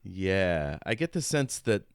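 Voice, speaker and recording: Very deep-voiced man, halting and casual. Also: very animated, clean tone, no echo, clean studio sound. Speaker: podcast co-host. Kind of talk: casual book discussion with read-aloud excerpts.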